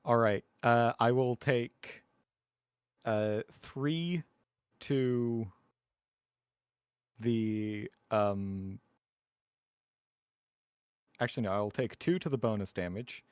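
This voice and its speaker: A man's voice: mid-range voice, drawn-out words, pauses often, clean tone, dry recording, clean studio sound. A man talking casually as a player at a tabletop roleplaying game.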